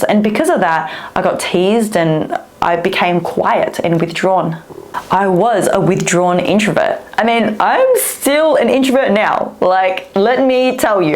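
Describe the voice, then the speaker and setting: An upbeat woman speaking coach talks straight to the camera in a chatty, expressive way. Her first language is Vietnamese, and her voice sits at a middle pitch for a woman. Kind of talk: direct-to-camera coaching talk.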